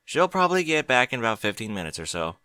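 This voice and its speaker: A man's voice: medium pitch, very animated, quick pace, sharp tone, smooth, no room sound, studio quality. A man voicing a young male dragon in an audiobook, chatty and colloquial, a little unsure of himself. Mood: casual, teasing. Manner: colloquial, hesitant, questioning. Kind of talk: audiobook character dialogue.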